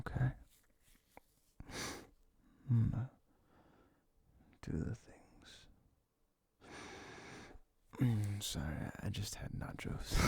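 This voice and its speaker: A young man with a low, heavily creaky voice speaks close and intimate, as in casual boyfriend ASMR. His tone is dark and soft, often sinking toward a whisper. He rambles haltingly, unscripted, circling back on his words. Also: no room sound. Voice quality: low, gravelly, breathy, often dropping near a whisper. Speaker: ASMR audio creator playing a comforting boyfriend.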